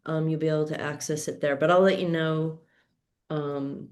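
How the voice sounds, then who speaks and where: A woman's voice: sits low, steady tone, normal speed, a little mellow. A woman hosting an online sew-along, chatting casually on a group video call.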